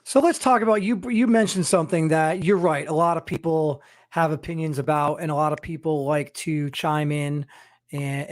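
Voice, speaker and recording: A man's voice: on the high side, dry recording, clean studio sound. A man hosting an interview, asking long winding questions. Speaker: interviewer host. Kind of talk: podcast interview.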